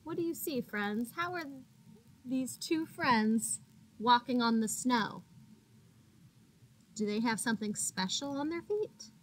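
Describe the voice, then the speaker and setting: A woman with a fairly high voice reads a picture book to young children at a slow, measured pace. She is expressive in a teacherly way, speaking straight to her little listeners. A faint noise sits behind her. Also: poor audio. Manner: expressive, addresses children as friends, gasps for suspense. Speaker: teacher reading aloud to children. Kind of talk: animated picture-book read-aloud with questions to young listeners.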